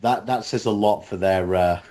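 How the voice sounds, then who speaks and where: A man's voice: fairly low pitch, moderate pace, warm tone. A man bantering casually in a group interview, playful, teasing and jokey. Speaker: audio drama cast member.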